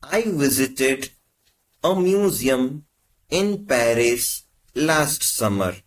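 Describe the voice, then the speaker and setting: A man teaching as a language tutor, reading short prompts in slow, measured phrases. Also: mid-range voice, expressive. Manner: measured, carefully spaced phrases. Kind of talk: slow, deliberate lesson prompts.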